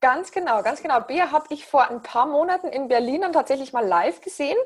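A woman in her thirties with a Bavarian accent, chatting as a podcast guest in a relaxed, upbeat way. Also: high-pitched, brisk. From Bavaria, near Passau. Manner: chatty, many fillers, upbeat. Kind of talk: casual interview chat.

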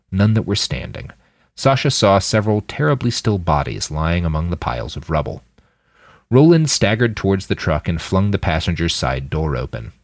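A man's voice: sits low, no echo. A man reading a story aloud as scripted audiobook narration. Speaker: author reading his fiction podcast.

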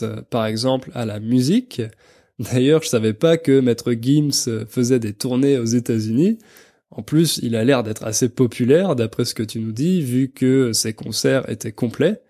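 A man's voice: mid-range voice, mellow. A young adult man with an accent from France presents a podcast for language learners, explaining things didactically. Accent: French, from France. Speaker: podcast host and French teacher.

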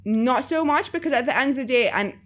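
A woman's voice: high voice, expressive, brisk, a bit bright. Woman w a slight egyptian accent, chatty interview guest, lots of likes and you knows. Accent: slight Egyptian-influenced English. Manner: chatty, filler-heavy, laughs easily. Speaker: guest, writer. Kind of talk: casual interview chat.

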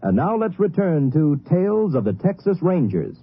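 A man's voice: a little high, big pitch swings, moderate pace, noisy background. A man reading a scripted 1950s radio public service announcement in a formal, earnest announcer's style. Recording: very narrow, tinny old radio broadcast.

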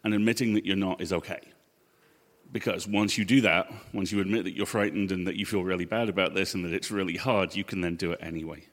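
A man speaking as a panel guest, giving earnest, measured advice that builds to short punchy sentences. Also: low voice, fairly even intonation. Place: live event panel in a hall. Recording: echoey hall with long reverb.

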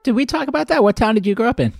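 A man's voice: very high voice, lively intonation, racing, gravelly, a little mellow, dry recording, clean studio sound. A man from Massachusetts hosting an interview, asking casual, curious questions. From Massachusetts, USA. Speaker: interviewer, podcast host. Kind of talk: conversational interview.

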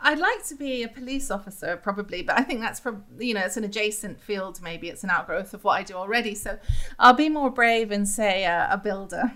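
A woman talks through a podcast chat with a bright, forceful edge to her voice. She laughs easily and thinks aloud, filling her pauses with little fillers as she goes. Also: medium pitch. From born in the US, raised in the Middle East and North Africa. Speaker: podcast guest. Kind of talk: casual conversational interview.

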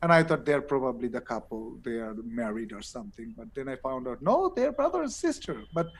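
A man with a Bangladeshi English accent, telling his story as a guest in a casual interview and laughing between points. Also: fairly high pitch.

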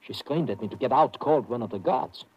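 An actor playing an earnest, nervous German doctor in a 1950s radio drama, with a German accent and a formal, hesitant way of talking. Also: male voice, medium pitch, fast talker, lo-fi sound, natural voice. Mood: earnest, uneasy. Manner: hesitant, repeats words, formal. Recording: slightly noisy old radio broadcast.

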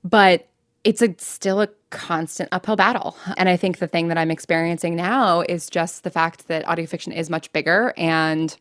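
A woman's voice: fairly low pitch, steady tone, normal speed, no room sound, studio quality. An American woman speaking candidly as an interview guest, in a chatty, informal way with lots of "like" and self-interruptions. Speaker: interview guest, fiction podcast writer and producer.

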